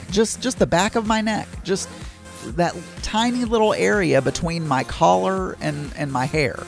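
Chatty Southern man, higher voice. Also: gravelly. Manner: rambling, chatty, frequent self-corrections. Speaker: vlogger. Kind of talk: casual chat.

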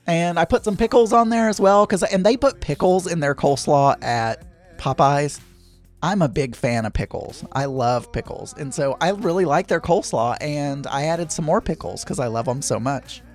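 A hoarse man talks in a loose, meandering podcast chat, his voice crackly and pitched a little above the usual for a man. He wanders off on tangents and pokes fun at himself. Faint music plays behind him. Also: expressive, moderate pace. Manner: chatty, digressive, self-deprecating. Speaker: podcast host. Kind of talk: rambling casual chat.

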